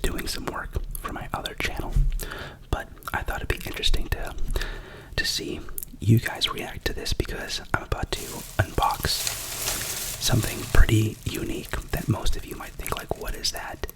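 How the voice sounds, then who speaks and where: A man's voice: normal speed, low-quality recording. Whispering man, ASMR unboxing host. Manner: rambling, chatty whisper with self-corrections. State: sick with a cough.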